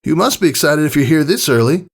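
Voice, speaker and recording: A man voicing a brash, cocky, excitable young female pegasus pony in a storybook reading, with boastful, teasing exclamations. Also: a little high, quick pace, gravelly, breathy edge, voice pushed up, heavily acted. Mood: playful challenge. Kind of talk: acted storybook character dialogue.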